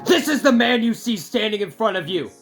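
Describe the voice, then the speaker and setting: A woman plays a guilt-ridden male soldier from a game, bitter and intense. The scolding delivery swings widely in pitch, over a music bed. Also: big pitch swings, a little fast. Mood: anguished outburst. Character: guilt-ridden, bitter, foul-mouthed male war veteran. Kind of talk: dramatic game fan dub. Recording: music behind the voice.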